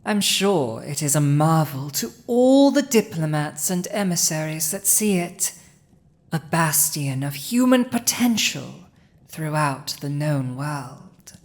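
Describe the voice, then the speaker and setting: Villainous dragon usurper, played by a woman: slightly low female voice, drawn-out delivery, haughty, gloating, possessive, for fantasy audio roleplay. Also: lively intonation, exaggerated voice. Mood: gloating, admiring. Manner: slow, imperious, teasing, with lingering pauses. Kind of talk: dramatic roleplay audio addressed to the listener.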